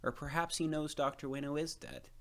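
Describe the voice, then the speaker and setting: A man voicing a gentle, thoughtful, stooped old gardener in a read-aloud storybook. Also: a little high, little pitch movement, voice pushed up, lightly acted. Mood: thoughtful, somber. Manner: slow, thoughtful delivery.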